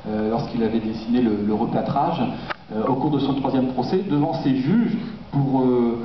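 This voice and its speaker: A man's voice: medium pitch, moderate pace, recorded from a distance. A man gives a public lecture with slides, hesitating often as he searches for words. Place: dark auditorium. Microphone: distant camera in the audience. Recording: echoey, dull, noisy auditorium recording from afar.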